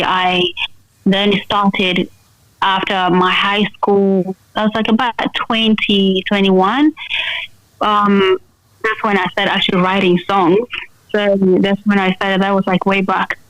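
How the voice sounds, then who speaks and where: A woman's voice: mid-range voice, fairly bright tone, dry recording, highs cut off. A woman singer-songwriter talking casually in a radio interview, switching between English and another language.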